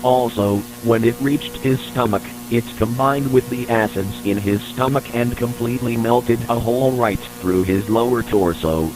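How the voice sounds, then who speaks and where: A man narrates a scripted report for an analog horror tape, keeping a formal and matter-of-fact manner. His pitch is average for a man, and a clear electrical hum and noise runs through the recording. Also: moderate pace, hardly any pauses.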